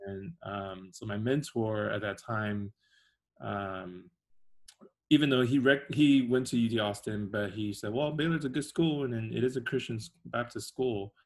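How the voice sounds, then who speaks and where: Soft-spoken man. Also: on the low side, normal speed. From China. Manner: soft-spoken, rambling with restarts. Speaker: guest. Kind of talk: casual interview.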